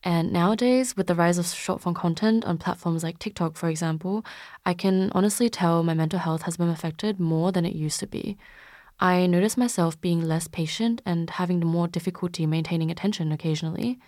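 A woman's voice: lowish voice, fairly quick, darkish tone, no room sound, clean studio sound. A woman of twenty-three, a student co-host, talking candidly and reflectively about her own habits. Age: 20s. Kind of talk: casual podcast discussion.

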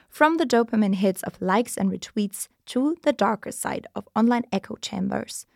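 A woman's voice: mid-range voice, vocal fry, dry recording, studio quality. A nineteen-year-old woman hosting a podcast, reading a scripted intro in a polished, upbeat way and moderating. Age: teens. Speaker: podcast host.

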